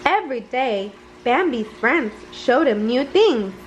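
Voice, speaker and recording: A woman reads a children's bedtime story aloud expressively, with some halting slips. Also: high-pitched, expressive, slight background noise, poor audio. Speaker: storybook reader.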